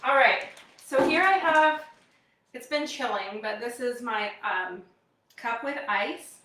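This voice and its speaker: A woman's voice: fairly high pitch, lively intonation, takes it slowly, noisy background. A woman in her thirties with a Wisconsin accent, chatting casually and upbeat as she hosts a live product demo for viewers. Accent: American Midwestern, Wisconsin. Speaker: live demo host, direct-sales kitchenware consultant. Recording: roomy echo, distant microphone.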